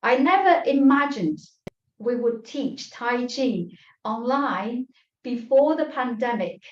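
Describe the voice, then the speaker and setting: A woman whose first language is Chinese, giving an earnest online tai chi lecture in English, measured, with frequent pauses and restarts. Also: a little high, lively intonation. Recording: roomy, distant, dull lo-fi sound.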